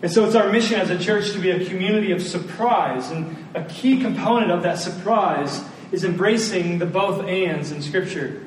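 A roomy, slightly noisy recording of a man delivering a sermon. He is a pastor with a high-set voice, earnest and emphatic, repeating his key phrases for weight. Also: moderate pace. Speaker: pastor preaching to his church. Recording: roomy, distant mic, faint noise.

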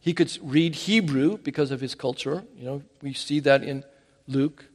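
A man preaching a sermon in an animated, emphatic way, often restarting his phrases. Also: medium pitch, normal speed. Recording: hall reverb.

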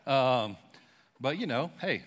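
A young man preaching a sermon in a church, casual and excited, using slangy talk and leading a prayer. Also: mid-range voice, slow. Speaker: pastor. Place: church sanctuary.

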